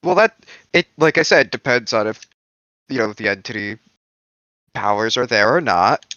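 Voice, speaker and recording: A man with a bright, forceful voice at average pitch argues casually in a group chat, swearing freely. The sound is dry and a touch muffled. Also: expressive, moderate pace. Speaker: panelist in a group discussion.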